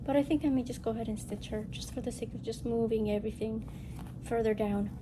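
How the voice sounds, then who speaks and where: Nasal, higher-pitched woman chatting casually. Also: steady tone, quick pace. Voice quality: nasal, congested. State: getting over a respiratory illness. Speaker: host of a cross-stitch video channel. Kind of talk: casual chat to viewers, craft show-and-tell. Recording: noticeable background noise.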